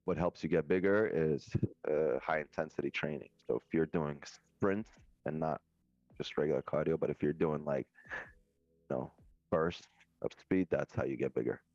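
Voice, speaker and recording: Brash, very deep-voiced man. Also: normal speed, darkish tone, no room sound. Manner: brash, cocky, heavy swearing. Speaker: co-host on a live trading stream. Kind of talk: casual banter. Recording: dull lo-fi sound.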